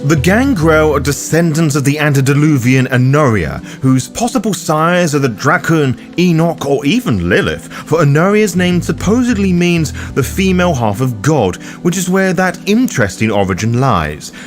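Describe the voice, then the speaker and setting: Higher-pitched man narrating with lively, swooping delivery. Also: moderate pace, few pauses, faint music behind. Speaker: host of a tabletop roleplaying guide. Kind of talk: scripted narration, explainer video.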